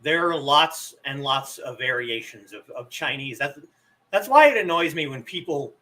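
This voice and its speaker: A man with a bright, forward tone and a fairly high voice, talking slowly and unhurriedly. He hosts a relaxed live stream, explaining things and answering viewers. He still has a lingering cough from an illness. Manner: relaxed, chatty, answers chat questions. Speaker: live stream host teaching language tones.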